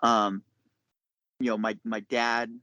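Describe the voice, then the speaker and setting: A man, probably middle-aged, talking casually as a podcast guest, explaining things in a halting, reflective way. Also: lowish voice, flat delivery, pushed and bright, no echo, clean studio sound. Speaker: podcast guest, chef and caterer. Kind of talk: casual interview chat.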